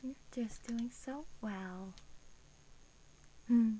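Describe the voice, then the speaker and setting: A woman playing a sweetly menacing, teasing vampire in a soft, breathy ASMR roleplay, often dropping into a whisper, coaxing and condescending. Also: on the high side, drawn-out words. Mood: soothing, clinical. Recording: faint hiss.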